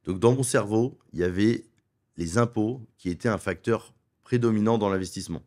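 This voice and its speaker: A middle-aged man from France speaks with a somewhat low voice. He argues his points persuasively in a studio interview, stacking them in quick runs. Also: a little mellow. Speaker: wealth advisor and property investment guest. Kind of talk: conversational interview.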